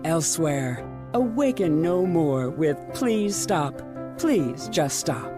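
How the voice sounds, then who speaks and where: A woman playing a composed, sinisterly reassuring game radio presenter, measured and persuasive like an advert. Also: deep voice. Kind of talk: radio ad and station announcement.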